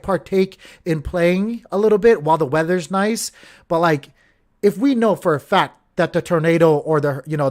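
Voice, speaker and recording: A man from Hawaii hosting a crypto channel, giving casual market commentary in a rambling, chatty way, full of fillers and restarts and talking straight to the viewers. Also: fairly high pitch. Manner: addresses viewers as guys.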